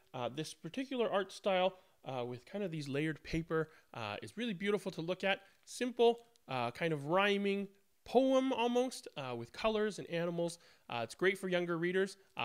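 A man in his thirties, host of a children's read-aloud, speaking in an expressive, sing-song way. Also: sits high, moderate pace, clear, resonant tone, no echo. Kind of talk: picture-book read-aloud with casual introduction. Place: indoors, small room at home.

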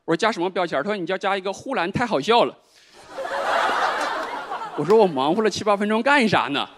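A man from Northeast China performing a stand-up set, delivering quick, animated deadpan punchlines on a stage mic. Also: sits high. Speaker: stand-up comedian. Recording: stage mic with audience noise.

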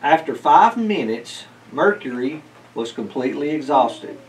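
A man's voice: mid-range voice, animated, unhurried, far from the mic, slight background noise, rough recording. A middle-aged man narrating a children's storybook aloud in a library room. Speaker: library board chair reading aloud. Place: indoors, library room with bookshelves.

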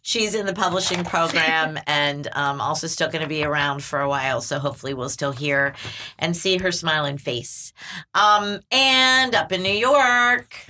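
A woman's voice: sits low, moderate pace, sharp tone, a little hiss or hum. A woman hosting a casual group chat, laughing often as she talks. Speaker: podcast host.

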